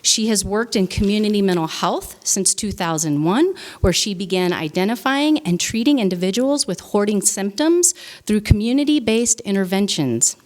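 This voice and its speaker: A woman's voice: medium pitch, expressive, moderate pace, a little mellow. A woman hosting a live training event, welcoming the audience and reading a speaker's biography aloud in an orderly way. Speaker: event host introducing speaker. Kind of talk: formal introduction at a training event. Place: conference room with live audience.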